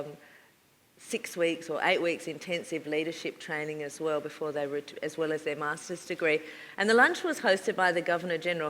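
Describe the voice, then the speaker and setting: Woman panelist, lowish voice, hesitant and considered, lecture-hall reverb. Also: a bit bright. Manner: thoughtful, hesitant with many fillers. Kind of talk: panel discussion.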